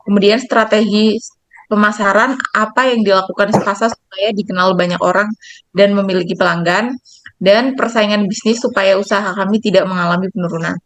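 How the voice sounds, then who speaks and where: A woman's voice: medium pitch, steady tone, a little fast, no echo. Young woman giving a class presentation. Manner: reads slides, repeats herself. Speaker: student presenter.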